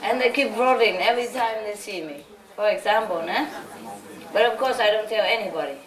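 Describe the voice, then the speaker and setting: A woman's voice: mid-range voice, fairly quick. Woman, spiritual teacher, chatty and giggly, recorded from afar with a crowd around. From Vietnam. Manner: chatty, laughing often, rambling asides. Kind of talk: informal lecture to followers. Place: large hall with a live audience. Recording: echoey hall, distant mic, audience noise.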